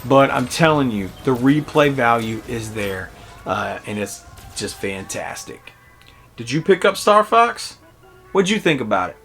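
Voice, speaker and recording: A man in his early forties giving a casual, conversational video game review in a halting, reflective way. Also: mid-range voice, very animated, creaky, fairly bright tone, soft background music. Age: early 40s.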